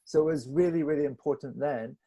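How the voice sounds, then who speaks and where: A man reflecting as a film director in a panel discussion, halting and restarting as he searches for words. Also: on the high side, dark tone, slightly rough.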